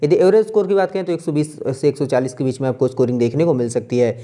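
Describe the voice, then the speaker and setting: A man with an Indian accent giving an informal, brisk fantasy cricket preview as a channel host, running through player statistics. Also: mid-range voice, warm tone. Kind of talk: informal match preview commentary over on-screen stats.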